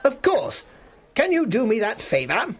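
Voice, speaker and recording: A man's voice: very high voice, huge pitch swings, unhurried, slightly rough, voice pushed up, full character voice. A man voicing a joyful reformed old miser in a storybook, exclaiming with giddy, tearful glee. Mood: warm eagerness. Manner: exclamatory, gleeful.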